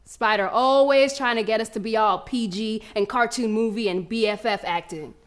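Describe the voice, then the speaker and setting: A woman's voice: on the high side, moderate pace, a little hiss or hum, close to an everyday voice. A woman playing a fierce, cocky preteen American girl runner in a live theatrical monologue, telling her story in brash, slangy street talk. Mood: defiant, earnest. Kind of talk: first-person theatrical monologue performed live.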